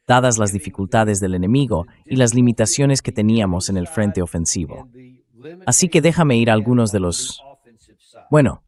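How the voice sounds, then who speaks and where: A man hosting a political commentary show, explaining his points in a measured, emphatic way. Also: lowish voice, a little mellow. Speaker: show host. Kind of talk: political commentary to camera, translated read-aloud.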